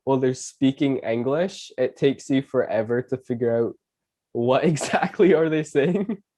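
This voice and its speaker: A man's voice: mid-range voice, moderate pace, warm tone. A Canadian-born man with a Scottish accent, hosting a casual podcast chat and laughing between phrases. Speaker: podcast host. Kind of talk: casual conversation.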